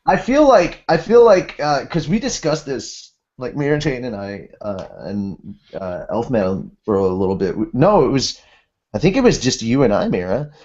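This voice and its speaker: A man's voice: mid-range voice, very animated, moderate pace, a little mellow. A man co-hosting a podcast in casual banter, rambling and repeating phrases for emphasis. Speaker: podcast co-host. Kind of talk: casual banter about anime. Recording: dull lo-fi audio.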